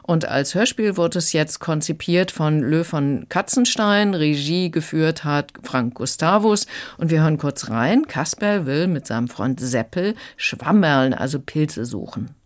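A woman audiobook critic on a radio review show, calm and measured as she recommends titles. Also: deep voice.

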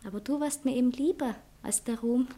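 An actress playing a self-important young divorced poetess in a satirical stage comedy, speaking theatrically and earnestly. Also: female voice, high-pitched, brisk, warm tone. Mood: insistent, adoring. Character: self-dramatizing young divorced poetess defending herself to her fiancé. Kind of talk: stage comedy acting in a radio play manner.

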